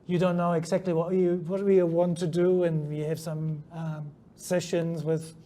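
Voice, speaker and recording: A man's voice: a little high, little pitch movement, moderate pace, warm tone. A middle-aged German-born man with a New Zealand accent, a scientist guest answering interview questions hesitantly, with many ums and restarts. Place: conference venue. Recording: faint background noise at a conference.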